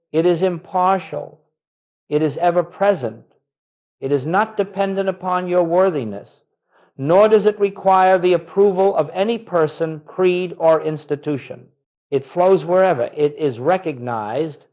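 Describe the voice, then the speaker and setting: A man delivering a scripted guided contemplation as a spiritual teacher, solemn and reverent, with measured, deliberate phrasing. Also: a little high, lo-fi sound.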